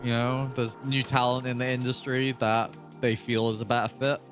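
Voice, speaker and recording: Male podcast panelist, medium pitch, halting casual storyteller, soft music underneath. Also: level tone. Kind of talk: casual podcast anecdote and chat.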